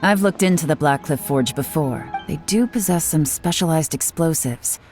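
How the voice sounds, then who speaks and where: A woman playing a shrewd, composed noblewoman investigator in a video game, measured and authoritative. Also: low voice, a little mellow, soft background music, natural voice. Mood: shrewd, decisive. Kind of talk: video game character acting.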